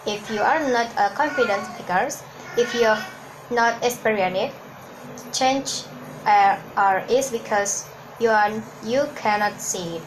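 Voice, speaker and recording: A woman's voice: a little high, a little slow, noisy background. A woman from Hong Kong whose first language is Cantonese, giving an earnest talk on public speaking and often restarting her words. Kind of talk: instructional talk to camera.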